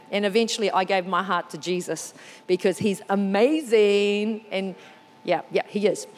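A woman with a New Zealand accent preaching a sermon, lively and chatty, with asides that poke fun at herself. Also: a little high, long reverb tail. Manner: lively, warm storytelling with self-deprecating asides.

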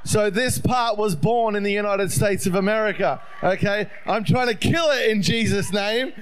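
A man's voice: high-pitched, normal speed, clear, resonant tone. A middle-aged Australian man preaching as a visiting speaker, telling his personal story in a conversational, self-deprecating way. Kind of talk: sermon, personal testimony.

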